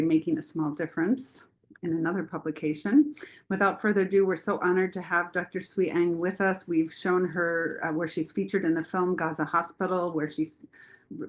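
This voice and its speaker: A woman host reading a formal introduction of the guest speaker, with small slips. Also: low-pitched. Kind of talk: read introduction.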